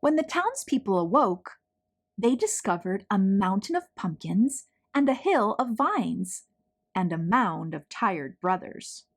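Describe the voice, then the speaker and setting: A woman reading a picture book aloud to children, telling the story in a deliberate, dramatic way with emphasized words. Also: medium pitch, very animated.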